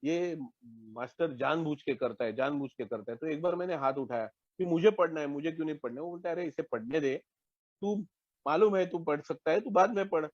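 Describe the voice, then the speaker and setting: A Marathi man from Maharashtra, giving a candid, reflective personal testimony at an online support meeting, with hesitant pauses and restarts. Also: a little high, a little mellow, no room sound, muffled recording. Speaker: recovering member sharing at a support meeting.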